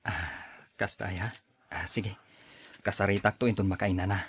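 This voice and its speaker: A man playing an engineer and younger brother, proud but able to soften, in an Ilocano radio drama. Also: deep voice, fairly even intonation, unhurried, slightly rough, full character voice. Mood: subdued, softened. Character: engineer younger brother, reluctant then humbled helper of relatives.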